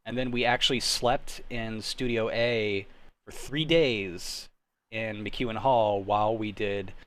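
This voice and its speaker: A young adult man hosting a casual livestream chat, telling anecdotes in an animated, self-deprecating way. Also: on the low side, slow. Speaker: host of an online table-read show.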